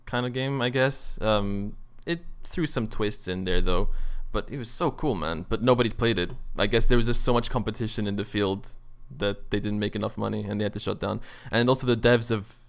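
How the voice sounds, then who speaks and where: Low-voiced man chatting casually. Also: moderate pace, few pauses, smooth. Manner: informal, slangy. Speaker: podcast host. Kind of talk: casual chat about video games.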